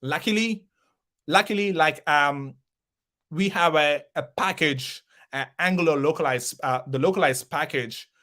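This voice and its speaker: A man's voice: on the high side, fairly bright tone. A man from South India with an Indian accent, a Kannada speaker, giving an online conference talk and often repeating words as he searches for the phrasing.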